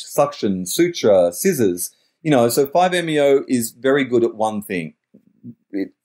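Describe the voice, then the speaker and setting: A man's voice: on the low side, darkish tone. A middle-aged man talking in a wordy, searching way as a podcast guest, often correcting himself mid-sentence. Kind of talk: reflective interview talk.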